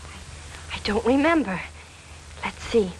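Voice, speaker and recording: A woman's voice: fairly high pitch, animated, slow pace, slight background noise, poor audio. An evasive, flighty young woman. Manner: evasive, flustered. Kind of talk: 1940s film acting.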